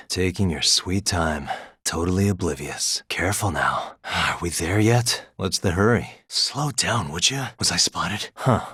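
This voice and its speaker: A streetwise American detective for a video game. His voice is husky and on the low side, recorded very dry. He speaks in short, wry, casual asides and barks. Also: bright, forceful tone, dry recording, exaggerated voice. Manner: casual, sardonic muttering with frequent sighs. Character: wry, streetwise male private detective, adult.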